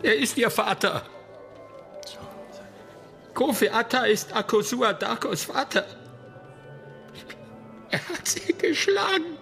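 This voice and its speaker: An actress playing a distraught village woman in a radio drama, speaking in an anguished, halting way. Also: a little high, expressive, vocal fry, a bit bright, soft background music, exaggerated voice. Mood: distraught, crying.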